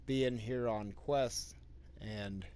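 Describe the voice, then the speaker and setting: A man's voice: on the low side, fairly even intonation, takes it slowly, a little hiss or hum. A man giving casual gameplay commentary as the host of a walkthrough. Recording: loud game music behind. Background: game music and sound effects.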